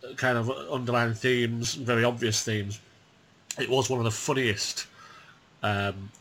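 A man hosts a casual film podcast, his voice bright and forceful at an ordinary pitch. He talks in a chatty way, stopping to restart and fill in with "kind of" and "you know". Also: little pitch movement, a little slow, slightly rough. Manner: chatty, hesitant with fillers and restarts. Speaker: podcast host.

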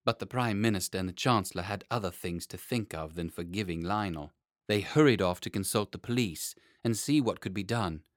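A man reading a children's story as an expressive audiobook narrator. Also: sits low, brisk, dry recording, clean studio sound.

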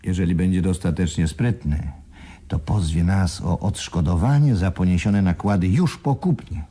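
An actor in a radio play playing a shrewd, sardonic business partner with a dry, chiding manner. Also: male voice, sits low, normal speed, warm tone, husky. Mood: wary, calculating. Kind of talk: 1980s Polish radio play acting.

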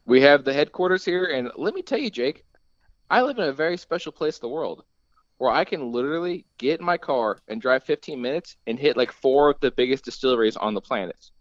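A young man from Kentucky trades jokes in an easy, self-mocking podcast chat. His voice sits at an ordinary pitch and sounds close and dry. The audio is a little dull and muffled. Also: lively intonation, a little fast, no echo. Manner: self-deprecating, joking. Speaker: guest.

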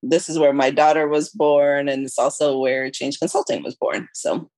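A woman's voice: low voice, steady tone, normal speed, darkish tone, dry recording. A woman from Ethiopia who moved to the US as a child, answering podcast interview questions in a reflective, explanatory, conversational way. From born in Ethiopia, moved to the US at about eleven. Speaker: podcast guest, consulting firm chief executive.

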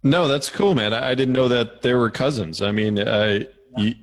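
A man co-hosting a music interview, rambling and halting as he searches for his words. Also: medium pitch. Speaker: co-host. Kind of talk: casual interview chat.

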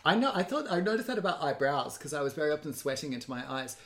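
A man's voice: fairly high pitch, very animated, quick pace, fairly bright tone. A man, chatting casually in a rambling, self-deprecating and hyperbolic way. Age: 30s. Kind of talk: casual chat.

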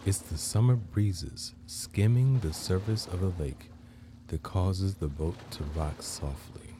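A man hosting a guided meditation, narrating in measured, deliberate phrases with long pauses. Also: low-pitched, background noise. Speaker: guided meditation podcast host.

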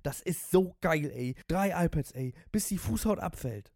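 Storybook cabbie, performed by a man: high-pitched, loudmouthed fanatic, slangy and shouting, very dry recording. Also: expressive, normal speed, no echo. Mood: ecstatic bragging. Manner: slangy, bragging, shouting exclamations. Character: ecstatic, loudmouthed sports-mad male taxi driver.

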